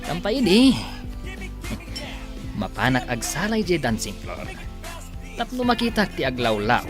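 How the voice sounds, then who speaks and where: A man's voice: fairly high pitch, huge pitch swings, a little slow, over music. An actor playing a deferential, chatty male sidekick to his boss in a radio drama.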